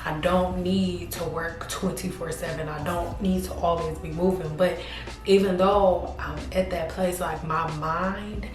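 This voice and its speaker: A woman's voice: on the low side, fairly even intonation, measured pace, roomy sound, distant mic, music in the background. A woman in her thirties talking casually to her camera, chatty and rambling with lots of fillers. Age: 30s. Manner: chatty, repeats herself, frequent fillers. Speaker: vlogger.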